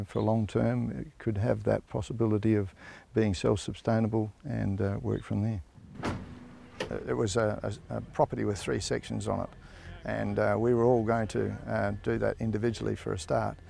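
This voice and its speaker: Gravelly, flat-voiced Australian farmer. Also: male voice, on the low side, slight background noise. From South Australia. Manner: halting, unhurried, frequent fillers. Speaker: grain grower. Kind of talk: documentary interview answers. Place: outdoors on a farm by a ute.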